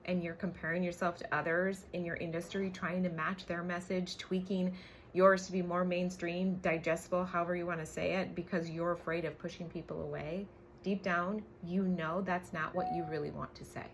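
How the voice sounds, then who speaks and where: A woman's voice: on the low side, little pitch movement, moderate pace, slight background noise. A woman coach giving an emphatic motivational livestream talk, swearing casually and often checking in with short tag questions. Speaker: brand coach and business co-owner.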